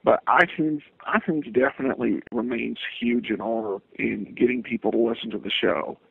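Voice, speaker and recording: An American man answers interview questions casually and haltingly. His voice is rough and husky with plenty of creak, and it comes over a narrow, phone-like line. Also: mid-range voice, dry recording. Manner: halting, hesitant. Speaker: interview guest, podcaster and writer.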